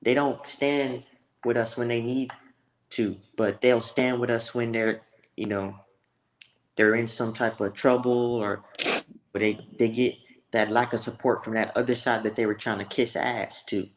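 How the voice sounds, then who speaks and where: A man's voice: medium pitch, flat delivery, a little slow, dull audio. An American man from Texas giving blunt, profane opinions in a casual, slangy sports chat. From Texas, United States.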